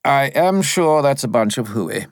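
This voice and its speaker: A man's voice: medium pitch, expressive, a little slow, no echo, studio quality, pitched above the performer's own voice, lightly acted. A man voicing a smug, put-upon castle spirit who talks in a casual, dismissive, superior way for a storybook.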